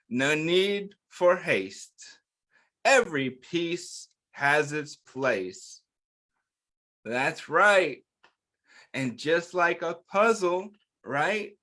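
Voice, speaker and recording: A man with a fairly high voice hosts storytime for little kids at a very unhurried pace. He reads in simple phrases and speaks straight to the children, asking questions and answering them in an encouraging way. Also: a bit bright. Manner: talks directly to young listeners, asking questions and answering them. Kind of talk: interactive picture-book read-aloud for young children.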